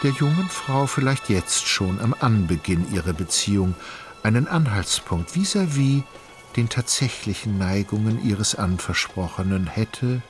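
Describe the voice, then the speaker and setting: A man reading scripted literary narration for a radio play in a measured, articulate storytelling manner. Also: lowish voice, lively intonation. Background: music.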